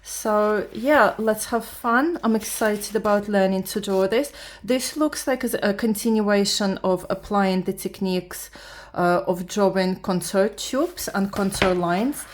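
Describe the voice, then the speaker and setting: A woman from Latvia whose first language is Russian, speaking with a Russian accent and just woken up. She talks her way through a drawing lesson in a halting manner, restarting often and reading the instructions aloud. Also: mid-range voice. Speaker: beginner art vlogger. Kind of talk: casual drawing tutorial.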